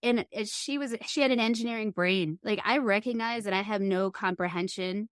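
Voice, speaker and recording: A middle-aged woman hosting a podcast, speaking earnestly and thoughtfully in candid conversation, often pausing mid-thought. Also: mid-range voice, smooth, dry recording, studio quality. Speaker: podcast host.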